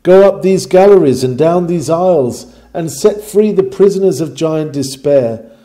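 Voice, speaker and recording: A man's voice: fairly high pitch, expressive, a little slow, mellow, low-quality recording. A man reading an old sermon aloud in an earnest, declamatory manner with formal, old-fashioned phrasing. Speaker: reader of a historical sermon.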